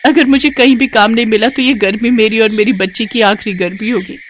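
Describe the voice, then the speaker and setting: A woman's voice: fairly high pitch, steady tone, a little fast, slight background noise, close to an everyday voice. A woman voicing a poor young mother and seamstress who pleads tearfully for help. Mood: pleading.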